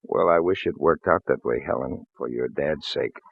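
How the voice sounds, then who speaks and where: An actor playing a folksy, shrewd small-town police lieutenant in a 1940s radio drama, hesitant and apologetic in manner. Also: male voice, a bass voice, normal speed, gravelly, breathy edge, no room sound, full character voice. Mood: shrewd, regretful. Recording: muffled vintage radio recording, phone-like band.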